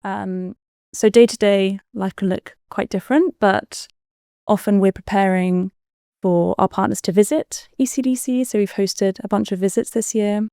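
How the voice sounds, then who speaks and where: A woman in her twenties from Spain, answering interview questions in a relaxed, chatty way with the odd um. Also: mid-range voice, normal speed, no room sound, clean studio sound. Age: 20s. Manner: relaxed, chatty with frequent ums. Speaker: guest.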